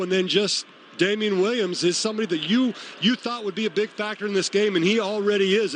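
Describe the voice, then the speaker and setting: An American man with a slightly high voice gives colour commentary on live football radio. He explains things conversationally and off the cuff, with faint noise behind him. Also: fairly bright tone. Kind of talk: unscripted football analysis.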